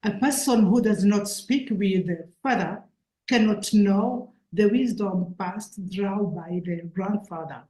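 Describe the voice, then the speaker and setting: A woman's voice: medium pitch, slow pace, warm tone. A Rwandan woman whose first language is Kinyarwanda, speaking earnestly at an event and searching for her English words as an invited speaker. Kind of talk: halting public talk. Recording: echoey room.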